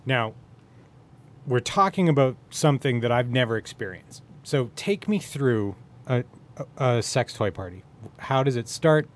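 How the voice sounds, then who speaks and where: Man, medium pitch, podcast interviewer, casual, rambling, self-interrupting. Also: animated, unhurried. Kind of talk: casual chat.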